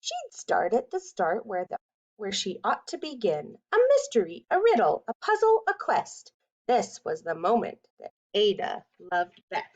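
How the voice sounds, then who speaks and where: A woman reading a children's picture book aloud in an expressive, deliberate way with dramatic emphasis. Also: mid-range voice, poor audio. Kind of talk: read-aloud children's storytelling.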